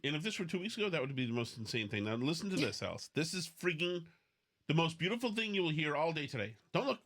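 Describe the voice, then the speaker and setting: A man hosting a podcast in casual, opinionated banter, excitable and freely swearing. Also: fairly high pitch, fast talker. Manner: profane, excitable. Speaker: podcast host.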